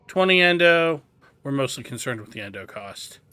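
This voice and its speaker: A man's voice: mid-range voice, animated, moderate pace, bright, forceful tone, smooth, no room sound. A man giving a casual game walkthrough, explaining things as he goes and thinking aloud. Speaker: gameplay guide host.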